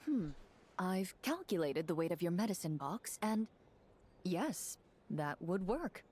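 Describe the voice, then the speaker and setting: A woman voicing a composed, analytical game master, narrating a fantasy battle in a measured, precise, formal way. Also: lowish voice, sing-song, a little mellow, a little hiss or hum. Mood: calculating. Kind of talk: scripted narration in a video game.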